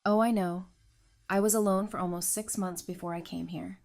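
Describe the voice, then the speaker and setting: A woman playing an empathetic widowed survivor in an audio drama, talking in a gentle, sympathetic manner. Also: lowish voice, fairly even intonation, moderate pace, vocal fry. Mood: candid. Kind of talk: fan audio drama acting.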